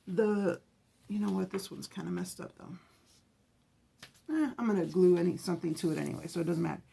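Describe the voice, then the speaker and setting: A woman's voice: mid-range voice, vocal fry, a little mellow, slightly rough. A woman hosting a casual craft tutorial, chatty and rambling, her voice scratchy from the early morning. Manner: chatty, rambling, self-correcting. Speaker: craft channel host.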